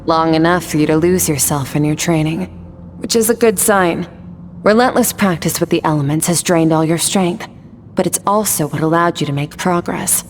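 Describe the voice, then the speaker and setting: A woman playing a composed warrior mentor in a video game, speaking in a measured, instructive, solemn way. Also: sits low, slight background noise, natural voice. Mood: calm, approving. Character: composed female warrior mentor guiding a student. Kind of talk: scripted video game dialogue. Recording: long reverb under music.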